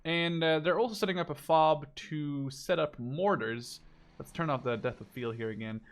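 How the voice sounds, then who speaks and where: A man's voice: a little high, moderate pace. A man giving casual, unscripted video game commentary as a spectator, thinking aloud in an informal way.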